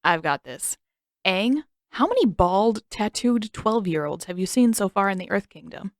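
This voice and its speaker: A sarcastic teenage boy who grumbles and scoffs at everything, as a woman reading a storybook puts him on. He talks in a flat, dry, mocking way, in a slightly lower register than the narrator. The recording is close and dry. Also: normal speed, no echo. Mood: smug.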